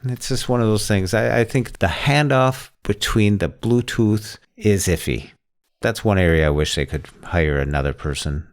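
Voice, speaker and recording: A man with a very low voice talks casually as a podcast host. He chats about everyday tech in an informal, storytelling way. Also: normal speed.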